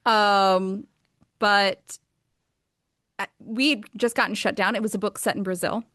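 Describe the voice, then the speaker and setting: A woman's voice: mid-range voice, steady tone, unhurried, fairly bright tone, no echo, clean studio sound. A woman in her thirties with an American accent, talking about her books as an interview guest, enthusiastic and chatty with frequent fillers. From United States. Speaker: author and guest. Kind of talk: casual interview conversation.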